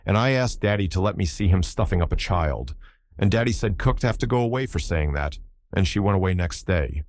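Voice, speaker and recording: A man's voice: deep voice, lively intonation, a little fast, darkish tone, slight background noise. A man voicing an earnest, chatty small boy in an audiobook story, telling things in run-on childish sentences.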